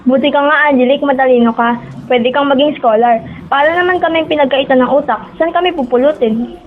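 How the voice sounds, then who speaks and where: A woman's voice: sits high, brisk, a little hiss or hum, close to an everyday voice. A young woman acting as an upbeat, chiding teenage schoolgirl in a radio drama. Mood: admiring. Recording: tinny phone-like audio.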